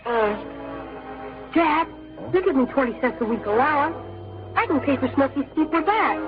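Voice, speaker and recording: An earnest American schoolboy with a high voice and a stubborn streak. He speaks emphatically, in the manner of old radio drama. The recording is dull and lo-fi. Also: lively intonation, moderate pace, music in the background. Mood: anxious pleading. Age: child actor or young teen.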